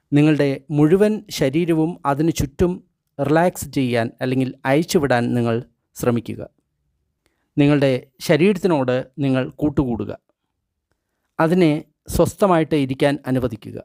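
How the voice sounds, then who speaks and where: A man reading guided meditation instructions in short, measured phrases with pauses between them. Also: on the high side, darkish tone, no room sound, studio quality. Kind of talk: guided meditation, scripted instruction read aloud.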